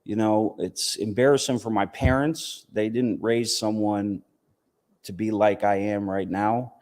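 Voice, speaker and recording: A man's voice: lowish voice, steady tone, measured pace, a little mellow. An American man giving an informal religious talk in a conversational way, often checking in with his listeners. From United States, Southern family from West Virginia, partly raised in Palestine. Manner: conversational, punctuated with "you know" and "right?" checks. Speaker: Islamic teacher giving a talk. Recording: reverberant hall.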